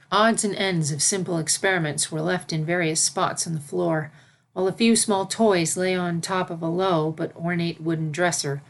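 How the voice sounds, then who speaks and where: A woman reading a fantasy story aloud as its narrator, in scripted narration. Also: low voice. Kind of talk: scripted narration of fan fiction.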